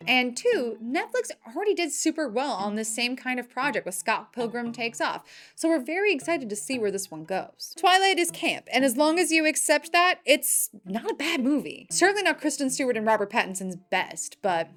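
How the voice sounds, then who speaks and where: A woman's voice: high voice, big pitch swings, moderate pace, few pauses, a bit bright. A woman hosting a fantasy movie news show, reading scripted items in a chatty, playful fan style with casual opinions. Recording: music bed behind the voice. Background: background music.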